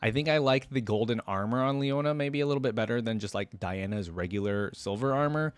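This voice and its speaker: A man's voice: mid-range voice, a little fast, clear, resonant tone, dry recording. A man chatting casually and informally to his viewers as a reaction video host, rambling conversationally as he goes. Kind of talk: casual unscripted chat to viewers.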